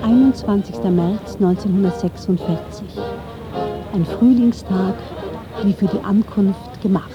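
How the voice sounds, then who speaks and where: A woman reading scripted documentary narration in a measured, factual storytelling manner. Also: mid-range voice, music in the background. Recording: slightly dull, band-limited sound.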